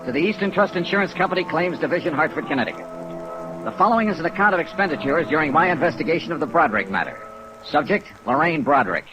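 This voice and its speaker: An actor playing a hardboiled, probing American insurance investigator in a clipped, wry 1950s radio drama style. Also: male voice, on the high side, fast, music in the background, close to an everyday voice, poor audio. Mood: brisk narrating. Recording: 1950s radio recording with rain noise. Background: steady rain sounds.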